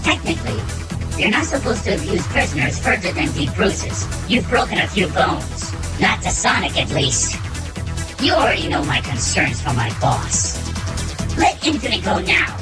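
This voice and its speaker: A woman's voice: mid-range voice, very animated, moderate pace, natural voice. A woman voicing a bold, taunting female fighter in a comic dub, teasing and sardonic. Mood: defiant warning. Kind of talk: comic dub character acting. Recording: echoing voice under loud music.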